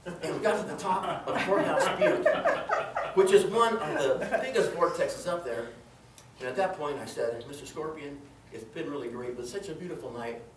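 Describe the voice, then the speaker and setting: A man performs a comic tall tale with plenty of theatrical flourish. His delivery is highly animated, with wide swings in pitch. He is heard at a distance from the microphone in a very echoey room. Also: normal speed, slight background noise. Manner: theatrical, dramatic storytelling with exclamations and acted-out quotes. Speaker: storyteller in a tall tales contest. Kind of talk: live comedic tall-tale storytelling to an audience. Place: indoor room before a live audience.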